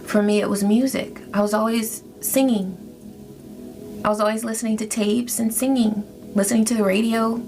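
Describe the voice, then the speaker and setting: A woman giving a spiritual tarot reading in a soft, reflective, gently encouraging way. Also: fairly high pitch, steady tone, normal speed. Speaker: tarot reader. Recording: faint music behind.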